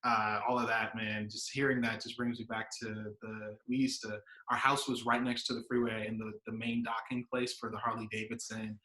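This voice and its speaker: A man's voice: fairly low pitch, level tone, normal speed, hardly any pauses, bright, forceful tone, echoey room, low-quality recording. An upbeat American man, a pastor from Wisconsin, hosting an informal conversational interview over a video call. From Wisconsin, United States. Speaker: pastor and host.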